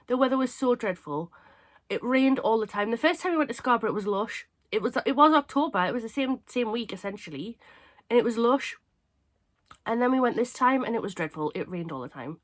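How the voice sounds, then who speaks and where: A young woman from England with a cold, sounding hoarse and stuffy, chatting casually and rambling to camera as a craft channel host. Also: a little high. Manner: chatty, rambling, self-mocking.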